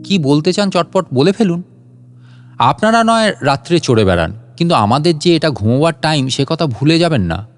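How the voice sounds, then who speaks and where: Audio story role man acting: elderly gentleman, unflappable and wry, chatty indignation, higher pitch, faint music behind. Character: elderly, unflappable, sarcastic, talkative gentleman scientist.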